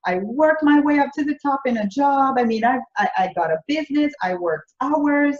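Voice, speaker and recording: A woman's voice: high-pitched, expressive, normal speed. Jamaican lady telling her story in an interview, earnest, echoey room, kinda muffled audio. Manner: earnest, builds through repeated phrases. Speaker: business coach, interview guest. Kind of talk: conversational interview answers. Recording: roomy, dull lo-fi audio.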